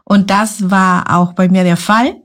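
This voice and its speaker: Woman, earnest podcast guest, mid pitch. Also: steady tone, measured pace. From Guatemala. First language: Spanish. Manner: earnest, searching for German words. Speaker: ministry guest. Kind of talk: podcast interview.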